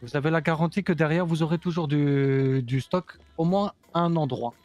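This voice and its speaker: Man doing a German-accented supplier: measured, smooth seller, higher-pitched, dry recording. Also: darkish tone, no room sound, close to an everyday voice. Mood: calm selling. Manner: smooth, measured salesman talk. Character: composed male foreign supplier, businesslike. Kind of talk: roleplay in character.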